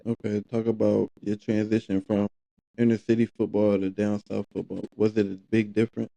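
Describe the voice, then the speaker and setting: A man hosts a podcast in a laid-back, casual way, his low voice gravelly and very dark. He keeps a flat, even tone while he asks short questions. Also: moderate pace, poor audio. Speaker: podcast host. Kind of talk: casual interview hosting.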